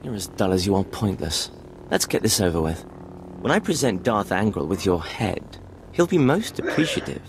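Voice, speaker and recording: An actor playing a pompous, sneering Sith villain, cold and theatrical, in a video game cutscene. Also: male voice, fairly low pitch, very animated, normal speed, slight background noise. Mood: menacing, scornful. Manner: mocking, pompous.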